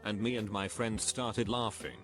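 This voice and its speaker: A man reading anecdotes aloud as a scripted narrator, at a steady, even pace. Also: on the low side, measured pace. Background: faint background music.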